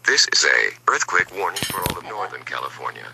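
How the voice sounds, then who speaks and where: A man's voice: low-pitched, very animated, brisk, vocal fry, very noisy. A man reading a formal, scripted emergency warning as an alert announcer.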